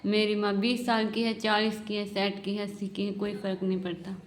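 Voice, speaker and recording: A woman with a mid-pitched voice recites a satirical spoken-word piece in the first person. Her delivery is deliberate, built on short declarative phrases. The recording is echoey, with the room clearly audible around her. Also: flat delivery. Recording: roomy, echoing voice recording.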